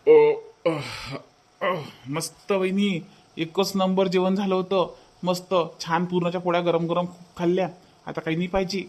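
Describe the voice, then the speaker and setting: A man's voice: sits high, little pitch movement, moderate pace, a little mellow, close to an everyday voice. A man voicing a contented village husband in a family cartoon, with some noise in the recording. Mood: pleased.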